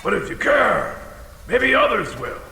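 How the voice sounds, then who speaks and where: An actor playing a huge, touchy, boastful ancient dragon in an audio drama, rambling theatrically with a gravelly voice. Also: male voice, very high voice, very animated, unhurried, bright, forceful tone, exaggerated voice. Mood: earnest, rambling. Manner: theatrical, rambling, swings into bellowing. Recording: cavernous echo with long reverb, faint noise.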